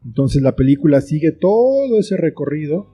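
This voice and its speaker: A man's voice: on the high side, sing-song, normal speed, warm tone, slightly rough, faint music behind. A man with a Mexican accent giving reflective, explanatory answers as an interview guest in a conversational style. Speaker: interview guest, screenwriter and author.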